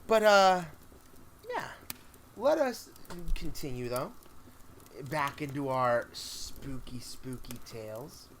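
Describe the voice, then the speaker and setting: A man with a mid-pitched voice hosts a storytelling stream. He speaks informally and loosely, like someone chatting to listeners at home. Clear noise sits behind his voice. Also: expressive, slow pace, fairly bright tone. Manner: rambling, informal, swears casually. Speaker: podcast host and storyteller. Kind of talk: casual live stream chat between stories.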